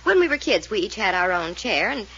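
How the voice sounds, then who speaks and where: A woman's voice: medium pitch, big pitch swings, brisk, a bit bright, husky, heavily acted. An actress playing a flippant young granddaughter who needles her family, breezy and sarcastic, in a 1940s radio mystery. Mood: wry, bitter. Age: young adult. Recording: muffled, faintly noisy old radio recording.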